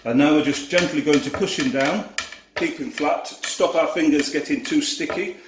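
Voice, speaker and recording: A man's voice: on the high side, normal speed, noisy background. Easygoing Yorkshire man in an echoey kitchen. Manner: easygoing, self-deprecating, talks while working. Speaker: cooking video host. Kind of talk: relaxed cooking demonstration. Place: home kitchen. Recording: echoey kitchen with clattering dishes.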